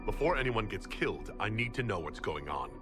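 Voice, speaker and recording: An actor playing a composed, diplomatic leader in a video game, speaking in a steady, considered way. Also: male voice, on the low side, fairly quick, big-room sound, music in the background. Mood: commanding. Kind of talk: dramatic video game acting.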